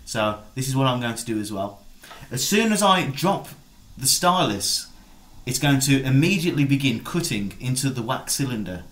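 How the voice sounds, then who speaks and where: A man in his thirties with a medium-pitched voice presents a demonstration. He explains things in an informal video made indoors in a house. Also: expressive, normal speed, a bit bright. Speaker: presenter demonstrating antique recording.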